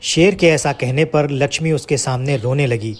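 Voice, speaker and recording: A man's voice: medium pitch, moderate pace. A man narrating a scripted children's moral story as a storyteller.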